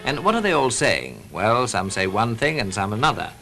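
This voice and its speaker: A man's voice: on the low side, sing-song, moderate pace, sharp tone. A British man reading scripted newsreel commentary in the style of the 1940s, as a narrator. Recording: vintage 1940s newsreel soundtrack with music. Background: music.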